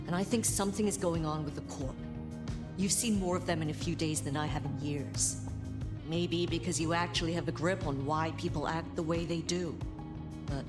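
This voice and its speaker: Actress as a wry, low-voiced vampire gang leader. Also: female voice, natural voice. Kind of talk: video game voice acting. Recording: game music underneath.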